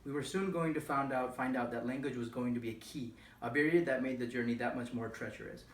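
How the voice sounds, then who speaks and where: A man's voice: mid-range voice, quick pace. A young man from Nepal whose first language is Nepali, earnestly reading a formal personal speech with occasional slips and restarts. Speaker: student speaker. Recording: echoey room, voice from far off, faint noise.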